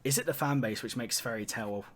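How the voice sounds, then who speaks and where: A man's voice: mid-range voice, brisk. A man hosting a casual podcast, chatting about anime in a teasing, sweary, slangy way. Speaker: podcast host. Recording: noticeable background noise.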